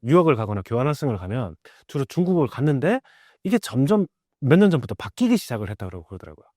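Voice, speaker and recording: A man's voice: mid-range voice, big pitch swings, fast talker, darkish tone, no room sound. Middle aged guy, expert explaining stuff, very up and down intonation, emphatic, dry room. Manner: conversational, rhetorical questions, emphatic storytelling. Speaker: guest expert, professor of American culture. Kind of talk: explanatory interview talk.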